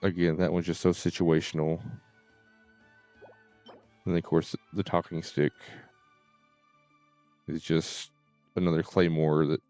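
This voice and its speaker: Low-voiced man, casual game streamer. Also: level tone, mellow, soft background music. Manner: halting, repeats and stutters on words.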